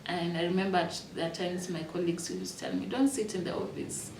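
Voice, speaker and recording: A Kenyan woman in her thirties telling her life story in a reflective, unhurried way, with fillers and restarts. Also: on the low side, steady tone, recorded from a distance, background noise. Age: 30s. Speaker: interviewee. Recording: echoey room, voice from off-mic.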